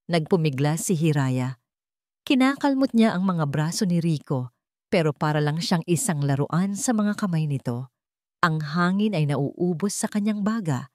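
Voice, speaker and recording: Female story narrator, lowish pitch, emotive and suspenseful scripted delivery, dry close recording. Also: darkish tone, dry recording.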